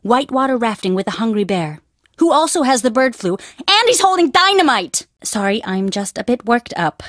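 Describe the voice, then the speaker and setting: Game role: young woman archaeology student at a desert dig,, lively and expressive, higher register. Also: normal speed, no room sound, studio quality. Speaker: video game character. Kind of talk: studio game voice acting.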